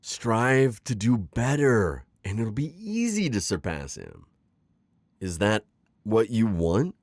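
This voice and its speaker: A man's voice: fairly low pitch, big pitch swings, a little mellow, dry recording, exaggerated voice. A man playing a suave, manipulative magical villain mentor in an intimate ASMR roleplay, coaxing and teasing as he talks. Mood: persuasive, teasing. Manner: coaxing, smooth-talking, chuckling, drawn-out teasing phrasing. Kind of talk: ASMR roleplay acting, intimate one-sided dialogue.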